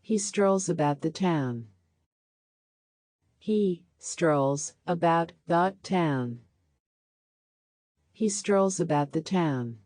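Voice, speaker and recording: A woman reading practice sentences aloud for a language lesson, saying each one three times with a slowed repeat. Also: fairly low pitch, expressive, a little mellow, no echo. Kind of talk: slow scripted sentence drills.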